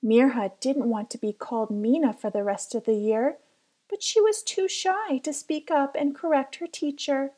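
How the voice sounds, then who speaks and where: A woman reading a children's picture book aloud as careful, expressive storytelling. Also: sits high, moderate pace. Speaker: storybook reader.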